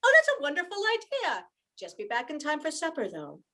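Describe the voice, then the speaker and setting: A woman's voice: high voice, wildly swooping pitch, a little fast, bright, forceful tone, full character voice. A woman playing a hospitable young widow and mother in an amateur radio play. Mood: gracious.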